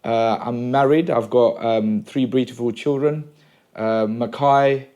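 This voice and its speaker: A man around forty from Sri Lanka, a pastor and podcast guest, telling his story in a relaxed way with many pauses and "uh"s in casual conversation at home. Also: medium pitch. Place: a home room.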